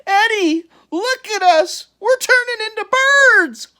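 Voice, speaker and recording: A woman's voice: extremely high pitch, animated, slow, pushed and bright, voice pushed up, full character voice. A woman voicing an exasperated working man, easily alarmed, who talks in snappy exclamations.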